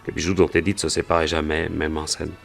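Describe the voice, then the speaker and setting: Deep-voiced, plain-spoken cowboy prospector. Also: male voice, flat delivery, quick pace, gravelly, soft background music. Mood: explaining, recalling. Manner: colloquial, storytelling delivery. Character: male cowboy and gold prospector, plain-spoken, wary, recounting his story. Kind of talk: French radio detective drama acting.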